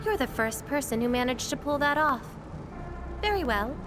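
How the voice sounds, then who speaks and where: An actress voicing a calm, self-assured young woman who wields fire, speaking game dialogue with a teasing edge over game music. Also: sits high, normal speed.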